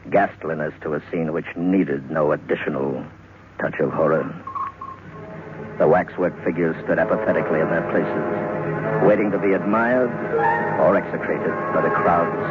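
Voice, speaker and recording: An actor playing a grave narrator of a radio horror tale, measured, solemn and ominous. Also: male voice, low-pitched, lightly acted. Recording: narrow-band old radio recording, loud music.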